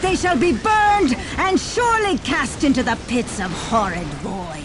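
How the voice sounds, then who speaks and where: Pious battle nun commander, high voice, very animated, theatrical, imperious, game dialogue with music behind. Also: female voice, measured pace. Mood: wrathful preaching. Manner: grandiose, devout, imperious. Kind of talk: theatrical video game voice acting.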